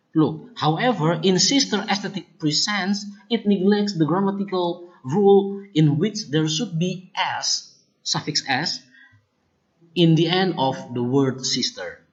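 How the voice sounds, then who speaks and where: A speaker with an Indonesian accent whose first language is Kupang Malay, presenting research findings in a deliberate, explanatory way. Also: mid-range voice, muffled recording. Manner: deliberate and explanatory, often starts points with "look" or "now". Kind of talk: academic presentation on language errors.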